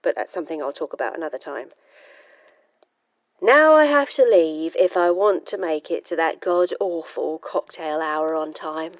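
A woman's voice: low voice, lively intonation, normal speed, no echo. An actress playing a frustrated, dry-witted woman physicist, confiding precisely and wryly in a recorded diary for an audio drama. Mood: wary, secretive. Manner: wry, clipped, confiding, precise.

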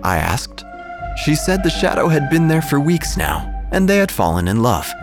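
A man reading a scripted first-person horror story, with deadpan, dryly absurd delivery. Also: on the low side. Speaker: narrator.

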